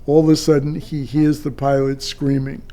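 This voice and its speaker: An older man, a retired air traffic controller, telling a personal story off the cuff in a halting way with drawn-out pauses. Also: on the high side, little pitch movement, warm tone.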